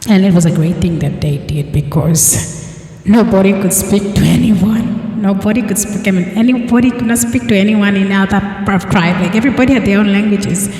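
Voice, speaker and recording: A woman's voice: medium pitch, normal speed, a little mellow. Papua New Guinean woman, echoey room. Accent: Papua New Guinean English. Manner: earnest, repeats and restarts phrases. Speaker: travel vlogger. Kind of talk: casual explainer to camera.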